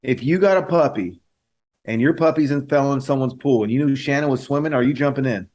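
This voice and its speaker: A young man co-hosting a podcast in a blunt, joking manner, swearing and saying "bro" often. His voice sits at a middle pitch for a man. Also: brisk, a little mellow, muffled recording. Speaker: podcast co-host. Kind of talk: casual chat.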